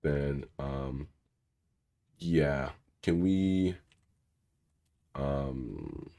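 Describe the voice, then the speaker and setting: A man hosting a casual live coding session. He is sick, and his naturally low, rumbly voice sounds raspier than usual. He rambles through the work with many fillers and mutters to himself. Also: very slow, mellow. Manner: rambling, filler-heavy, mutters while clicking around. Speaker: cybersecurity channel host. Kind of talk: casual live coding walkthrough, reading viewer questions.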